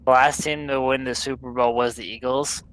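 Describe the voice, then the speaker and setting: A man's voice: mid-range voice, flat delivery, normal speed, fairly bright tone, clean tone, low-quality recording. A young man with a Southern American accent, drunk, chatting while he streams a game, rambling bluntly and swearing. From Southern United States. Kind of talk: casual chat while gaming.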